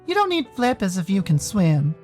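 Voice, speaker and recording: A man voicing an aloof, terse male furry animal in a visual novel, with slow, clipped replies and a faint jeer. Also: high-pitched, huge pitch swings, a little mellow, faint music behind, voice pushed up.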